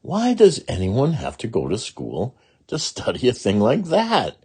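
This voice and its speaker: Man voicing a high-pitched, curious little schoolgirl. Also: wildly swooping pitch, measured pace, darkish tone, husky, exaggerated voice. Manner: questioning, earnest. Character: curious, outspoken eight-year-old schoolgirl in a storybook.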